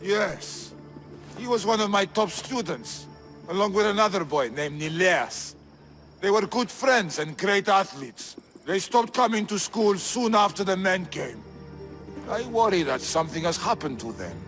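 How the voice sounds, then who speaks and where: An actor playing a proud but worried gymnasium master in a video game cutscene. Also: male voice, sits high, animated, measured pace, fairly bright tone, faint music behind.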